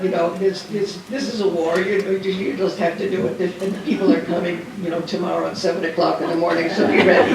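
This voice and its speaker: An older man with a high, gravelly voice tells a personal story in a halting, rambling way, full of restarts. He sits back from the microphone, and the hall around him rings with strong echo. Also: background noise. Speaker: guest speaker. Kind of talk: personal wartime memoir talk. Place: large hall at a dinner event. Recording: echoey hall heard from a distance.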